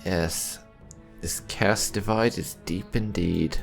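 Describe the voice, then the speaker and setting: A man's voice: low voice, steady tone, slow, creaky, over music. A man doing roleplay commentary over a video game, musing and replying in character. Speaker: gaming YouTuber playing in character. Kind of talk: roleplay let's play commentary.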